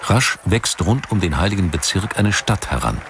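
Very deep-voiced male documentary narrator. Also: big pitch swings, moderate pace, creaky, fairly bright tone, slight background noise.

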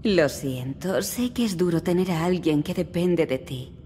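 Casting: composed game receptionist, middle-aged, soft dark tone, lively intonation, faint noise. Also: female voice, lowish voice, unhurried. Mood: sympathetic. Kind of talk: video game dialogue.

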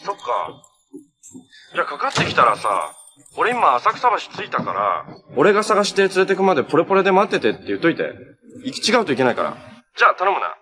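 A man's voice: on the high side, very animated, fast talker, crowd noise. A man acting as a kind, easygoing young hero in natural television drama dialogue. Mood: friendly, hurried. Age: young adult. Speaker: actor in a television superhero drama. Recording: noisy TV clip audio with ambience.

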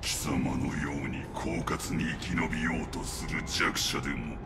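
Slow, gravel-voiced brute lord, scornful, game score behind. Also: male voice, very deep voice, steady tone. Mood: contemptuous. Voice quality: gravelly, commanding. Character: gravelly deep-voiced wolfish villain, arrogant and fierce. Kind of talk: Japanese game dub acting. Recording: game audio with music behind.

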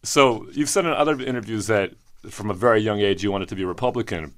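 A man co-hosting a podcast, asking interview questions in a relaxed way and laughing easily. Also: on the low side, fast talker. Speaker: podcast co-host. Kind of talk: casual interview.

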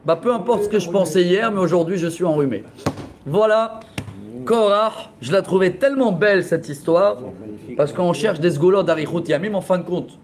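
A man in his forties, a rabbi teaching a lively Torah class in a classroom, telling stories with laughter and gestures into a close webcam. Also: high-pitched, moderate pace, a crowd in the background. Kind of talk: lively storytelling lesson. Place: classroom with bookshelves, indoors. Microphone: laptop webcam at close range.

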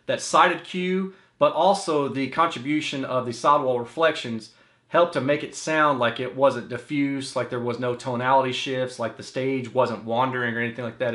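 A man's voice: medium pitch, a bit bright. American man explaining in an echoey room. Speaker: audio reviewer and host. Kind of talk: explanatory product review to camera. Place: small indoor home office, seated. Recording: roomy echo of a small room.

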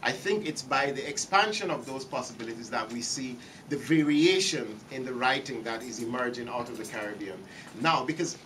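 A man's voice: mid-range voice, expressive, normal speed, fairly bright tone, slight background noise. A middle-aged man giving a keynote lecture from a podium, partly reading his text and partly speaking off the cuff, often repeating words as he searches for phrasing. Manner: gestures broadly, repeats words while reaching for phrasing. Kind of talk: academic lecture, partly read. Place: lecture hall, behind a podium. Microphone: podium microphone. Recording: slightly distant, faint room ambience.

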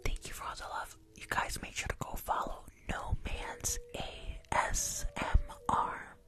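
A woman in her twenties chatting casually with viewers in a soft, breathy ASMR whisper close to a microphone, often repeating her phrases. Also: unhurried. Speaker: ASMR live streamer. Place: small indoor room. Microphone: desk microphone at close range.

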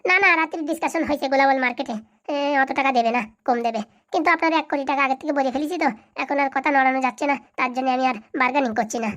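A bossy village matriarch in a comic cartoon, acted by a woman. Her voice is high and races along at breakneck speed. She blusters, boasts and threatens like someone used to being obeyed. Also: racing, fairly bright tone. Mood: bossy, bargaining.

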